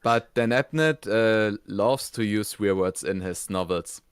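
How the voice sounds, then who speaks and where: A man co-hosting a casual review, talking in a halting way and repeating words as he forms his thoughts. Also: lowish voice, clean tone, dry recording, studio quality. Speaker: co-host of an animation review show.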